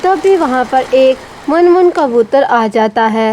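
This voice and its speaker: Lady narrating kids story, slow and high, bit of rainy noise in back. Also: animated, a little mellow. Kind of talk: scripted children's story narration. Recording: faint rain and background noise.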